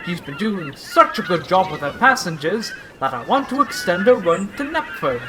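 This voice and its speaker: A man playing a self-important, blustering railway controller in an amateur audio drama, pompous and halting. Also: sits high, wildly swooping pitch. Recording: noisy track with background ambience.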